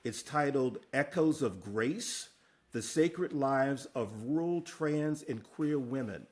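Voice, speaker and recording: A man's voice: mid-range voice, lively intonation, slow pace. A man hosting an interview, upbeat and encouraging as he introduces topics and asks questions. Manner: upbeat and encouraging, laughs often. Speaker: interview host.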